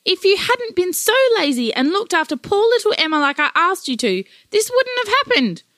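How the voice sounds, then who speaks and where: A woman voicing an exasperated, nagging grandmother, sharp and scolding. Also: on the high side, big pitch swings, a little fast, no echo.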